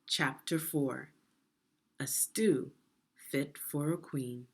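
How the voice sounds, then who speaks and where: A woman reading a children's storybook aloud expressively, in a deliberate, teacherly manner. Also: low voice, a little mellow, slightly rough. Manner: deliberate, teacherly, asks the listener questions. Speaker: education consultant reading a children's book.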